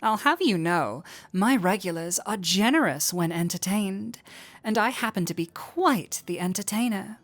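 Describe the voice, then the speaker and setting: A woman's voice: medium pitch, lively intonation, moderate pace, natural voice. A woman playing a flirty, teasing tavern innkeeper in an intimate fantasy audio roleplay, with a coy, playful manner.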